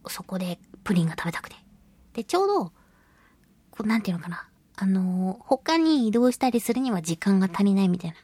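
Woman radio host with a slightly husky voice. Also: mid-range voice, no echo. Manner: self-deprecating and giggly; acts out little quoted remarks while storytelling. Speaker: radio talk show host; voice actress. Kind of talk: casual solo radio chat, telling personal anecdotes.

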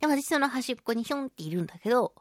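High-voiced woman hosting chatty radio talk. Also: very fast, dry recording, clean studio sound. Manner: animated storytelling with sound-effect words and playful polite phrasing. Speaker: radio show host. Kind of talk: casual solo radio talk.

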